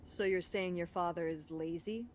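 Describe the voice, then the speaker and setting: A woman's voice: lowish voice, fairly even intonation, moderate pace, lightly acted. Woman acting a wry, weary mom, noisy background. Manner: sighing, dry, defensive. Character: weary, wry middle-aged mother of a teenage daughter. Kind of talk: naturalistic video game voice acting.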